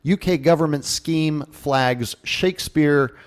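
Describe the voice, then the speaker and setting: A man's voice: fairly high pitch, takes it slowly, clear, resonant tone. Casual, jokey male radio host. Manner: jokey, conversational. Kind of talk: casual radio show monologue.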